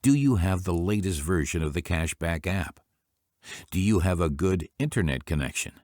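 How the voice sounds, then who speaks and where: A man presenting business training in scripted instructional narration, speaking in a formal, deliberate way. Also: deep voice, animated, a little mellow, dry recording, studio quality.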